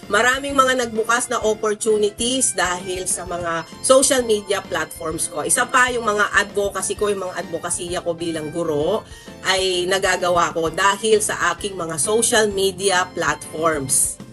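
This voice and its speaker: Bright-voiced Filipino woman, chatty vlogger. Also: medium pitch, soft background music. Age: 40s. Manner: chatty, conversational, emphatic with drawn-out words. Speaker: social science teacher and content creator. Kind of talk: casual storytelling vlog to followers.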